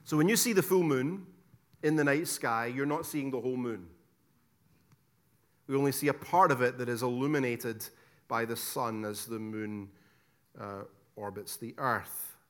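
A middle-aged Scottish man giving a theology lecture, stressing key words loudly, dropping to quiet asides and asking rhetorical questions. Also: mid-range voice, steady tone, normal speed, pauses often. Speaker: preacher teaching theology.

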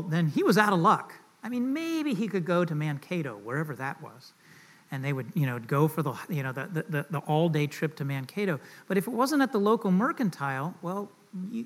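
A middle-aged man from the southern United States preaching a sermon, talking casually, joking and asking the congregation questions. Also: a little high. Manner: conversational and joking, asks the audience questions. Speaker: pastor.

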